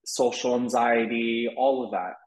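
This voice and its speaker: A man's voice: medium pitch, fairly even intonation, a little slow. A young man who grew up partly in Taiwan, with Mandarin as his first language, speaking candidly and reflectively as an interview guest, with frequent restarts. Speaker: wellness guest. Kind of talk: interview conversation. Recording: roomy echo.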